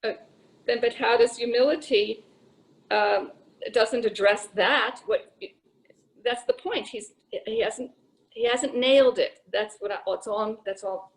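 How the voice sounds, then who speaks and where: A woman taking part in an unscripted poetry discussion, speaking in a halting but blunt and opinionated way. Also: a little high, low-quality recording.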